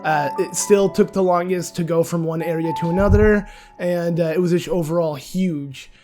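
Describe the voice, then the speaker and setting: A young man with a sick, raspy voice that is nearly gone talks casually through a gaming discussion. His pitch sits a little high for a man. He rambles, with plenty of fillers and self-corrections, and soft music plays faintly behind him. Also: steady tone, moderate pace. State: hoarse, voice nearly gone from illness. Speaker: video game channel host.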